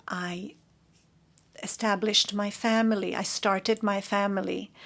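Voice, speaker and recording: A woman interpreting a formal courtroom statement into English, measured and halting, correcting herself as she goes. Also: mid-range voice.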